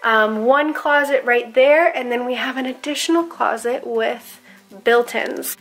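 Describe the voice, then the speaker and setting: A woman's voice: on the high side, unhurried, faint music behind. An American woman in her thirties, a real estate agent, chatting enthusiastically to camera in a casual house-tour style. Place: inside a furnished townhouse.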